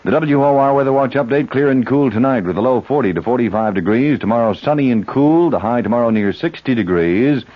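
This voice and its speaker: A man reading scripted news and announcements on the radio in a formal, measured way. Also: mid-range voice. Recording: dull old radio broadcast.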